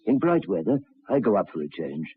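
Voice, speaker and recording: An actor playing a troubled, educated railway signalman in an old radio drama, speaking haltingly and repeating his words. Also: on the low side, sing-song, slightly rough, no room sound, exaggerated voice. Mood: resigned. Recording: tinny, band-limited old radio recording.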